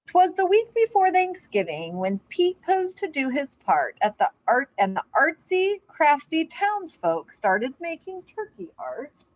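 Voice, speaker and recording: A woman reading a children's story aloud, expressive and speaking to young listeners as a storyteller. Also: very high-pitched, unhurried, no echo, highs cut off. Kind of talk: animated children's read-aloud.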